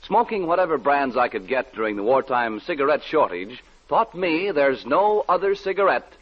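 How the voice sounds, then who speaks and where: Husky, animated male radio announcer. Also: on the high side, moderate pace. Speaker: commercial announcer. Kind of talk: 1940s radio advertisement. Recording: muffled 1940s radio recording.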